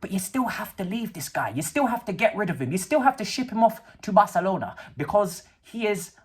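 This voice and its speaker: A man's voice: sits high, big pitch swings, brisk, husky. A man, ranting about a football match in a casual, sarcastic and slangy way. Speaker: football fan vlogger. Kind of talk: casual match reaction rant.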